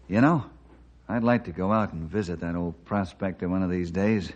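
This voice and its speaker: A man's voice: sits low, moderate pace, a little mellow. A man playing a friendly, patient forest ranger in an old-time radio drama, with a folksy, conciliatory manner. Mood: thoughtful, friendly. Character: friendly, calm, well-meaning male forest ranger. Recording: muffled vintage radio recording, faint noise.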